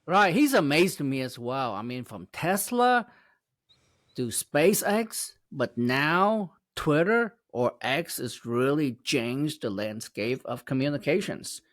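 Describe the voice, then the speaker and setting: A man's voice: medium pitch, very animated, takes it slowly, fairly bright tone, no room sound, studio quality. A man hosting an interview show, asking questions with frequent stumbles and self-corrections. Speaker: host. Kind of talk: casual interview.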